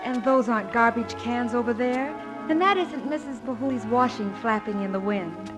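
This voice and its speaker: A woman's voice: a little high, normal speed, close to an everyday voice. A woman playing a dreamy young working-class daughter in a 1950s radio musical. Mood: wistful. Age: young adult. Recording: old radio broadcast with loud music.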